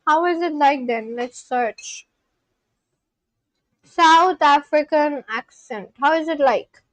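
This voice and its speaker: A woman's voice: high-pitched, takes it slowly, a bit bright, no echo, poor audio. A woman from India, chatting casually on a live stream at home and answering her chat aloud. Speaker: language-learning livestreamer. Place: at home at a laptop.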